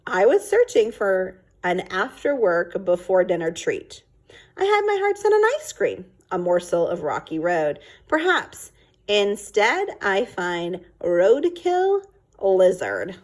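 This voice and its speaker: Lady doing a blustery storybook dad, drags words out, big swoops, bit husky. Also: medium pitch, sing-song. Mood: stern. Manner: exaggerated, drawn-out, emphatic delivery. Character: theatrical, blustering, teasing father of a young girl.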